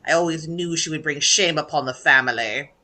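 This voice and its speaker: A woman's voice: low-pitched, a little fast, pushed and bright. A woman playing a haughty, stern aristocratic aunt in a radio play, imperious and blunt. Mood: scornful.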